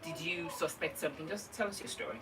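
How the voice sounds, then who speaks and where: A woman's voice: deep voice, fast, bright, forceful tone, far from the mic, slight background noise. A woman hosting a morning radio show, upbeat and chatty, often checking in with her listeners. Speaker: radio host. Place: radio studio.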